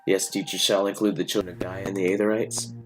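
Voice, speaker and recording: A man's voice: deep voice, level tone, fast, music in the background, close to an everyday voice. A man voicing a polite, literal-minded machine assistant in a story, formal and deferential. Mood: matter-of-fact. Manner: formal, deferential, matter-of-fact.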